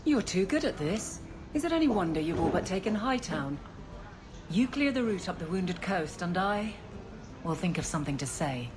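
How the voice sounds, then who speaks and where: An actress playing an awkward, lovestruck guard captain in a video game, earnest and self-mocking. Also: female voice, lowish voice, very animated, normal speed, heavy background noise. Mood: resigned, grateful. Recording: game audio over clear background ambience.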